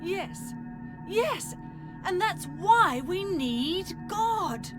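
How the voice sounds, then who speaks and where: Very high, solemn devout cult woman. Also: animated, extremely slow, over music, full character voice. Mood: anguished. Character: fervent, sorrowful, fanatically devout cult woman. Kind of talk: video game cutscene acting.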